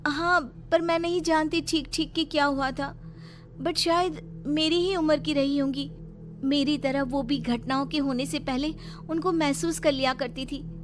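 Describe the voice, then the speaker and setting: A woman's voice: high-pitched, steady tone, measured pace, soft background music. A woman voicing an anxious young college girl with eerie premonitions in a horror cartoon, earnest and dramatic. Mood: uneasy. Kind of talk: Hindi horror cartoon dubbing.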